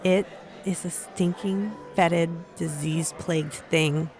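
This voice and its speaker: A woman's voice: lowish voice, fairly even intonation, measured pace, a little mellow, soft background music, natural voice. A woman playing a resilient young woman who recounts her ordeal in a fantasy audio drama. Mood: wry, measured. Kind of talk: first-person storytelling in an audio drama.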